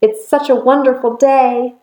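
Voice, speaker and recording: A woman's voice: sits high, steady tone, a little slow, dark tone, reverberant, voice pushed up, low-quality recording. A woman voicing friendly, curious wild animals in a storybook, with emphatic questioning. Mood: curious, puzzled.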